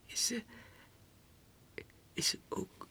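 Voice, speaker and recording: An actor playing a lovesick, deluded clerk sliding into madness, in a theatrical radio monologue that shifts into breathy whispers. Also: male voice, extremely slow, pushed and bright, exaggerated voice. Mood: pleading, agitated. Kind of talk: 1960s radio drama monologue, diary read aloud. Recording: 1960s radio recording with music.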